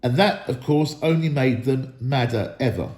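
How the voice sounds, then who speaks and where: A man's voice: mid-range voice, normal speed, darkish tone. A man reading a story aloud as a dramatic narrator. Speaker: school staff member reading aloud. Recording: echoey room.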